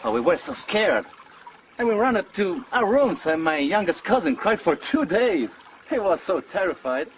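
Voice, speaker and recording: Animated, high-voiced young man, played by an actor. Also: moderate pace, a little hiss or hum. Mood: dramatic, teasing. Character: enthusiastic young man fond of ghost tales. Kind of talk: scripted drama for English learners.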